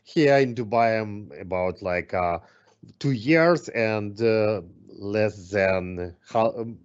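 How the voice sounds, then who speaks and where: A man's voice: fairly low pitch, takes it slowly, smooth. A man from Slovakia introducing himself on a video call, hesitant and searching for words. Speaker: guest. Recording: dull video call audio.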